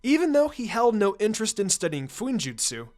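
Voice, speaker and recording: A man narrates a story in a scripted reading style. His voice sits slightly high for a man and moves with lively, wide-ranging intonation from phrase to phrase. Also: brisk, faint music behind. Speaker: fan fiction reader.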